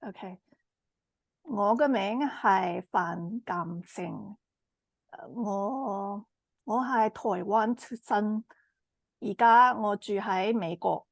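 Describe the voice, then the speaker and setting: A woman's voice: mid-range voice, drawn-out words, creaky, pauses often, no room sound, poor audio. A woman from Taiwan with an American accent tinged by Mandarin, chatting hesitantly on a video call with lots of ums and restarts. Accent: American English with a Mandarin tinge. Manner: hesitant, many ums and self-corrections. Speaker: course participant. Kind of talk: casual group chat on a video call.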